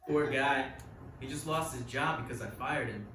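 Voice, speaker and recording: A man's voice: medium pitch, moderate pace, natural voice. A man playing an awkward, needy, oblivious hanger-on in a comedic live table read. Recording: echoey live stage, distant mic, noisy.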